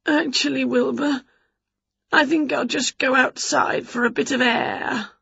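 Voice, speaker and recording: A woman voicing an excitable, greedy, scatty woman from a children's storybook, gushing and exclaiming. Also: fairly high pitch, unhurried, breathy edge, dry recording, lo-fi sound, voice pushed up, heavily acted. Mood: queasy.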